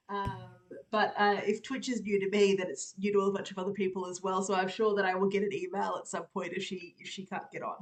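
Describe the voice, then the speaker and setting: A woman with an Australian accent hosting a casual live stream, chatty and quick to laugh, with lots of fillers. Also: medium pitch. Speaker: host.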